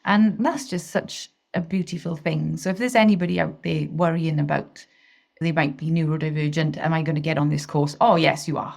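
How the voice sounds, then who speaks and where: A woman from Wales, a guest on a video call, talks in a lowish voice. She is enthusiastic and effusive, often laughing as she talks. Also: fairly even intonation, normal speed, vocal fry, darkish tone. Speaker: interview guest, singing teacher. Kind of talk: casual interview chat.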